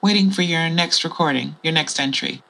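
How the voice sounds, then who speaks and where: A woman's voice: sits low, moderate pace, vocal fry, sharp tone, a little hiss or hum, heavily acted, poor audio. A woman playing an enigmatic, blunt traveller in an audio drama, musing candidly and swearing freely. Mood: eerie musing.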